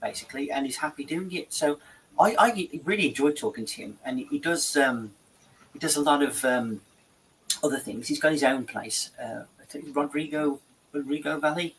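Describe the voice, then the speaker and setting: A man's voice: on the high side, moderate pace, a bit bright, slight background noise, low-quality recording. A man hosting a show, chatting in a rambling, repetitive way about a market visit. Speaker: show host. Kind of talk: casual studio chat.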